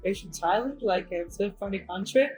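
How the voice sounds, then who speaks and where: A woman's voice: mid-range voice, normal speed, a little mellow, faint music behind, rough recording. A woman from Russia with a Russian accent, speaking loosely phrased non-native English as a guest in a casual interview. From Russia, Saint Petersburg. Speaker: guest, property investor and conference speaker.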